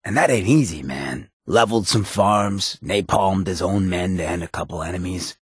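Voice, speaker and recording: An actor voicing a sly, swaggering outlaw mayor in a video game, with a gravelly rasp and cocky, streetwise, slangy drawl. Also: male voice, deep voice, animated, moderate pace, no room sound, studio quality, exaggerated voice. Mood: cool, scheming. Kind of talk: video game companion dialogue.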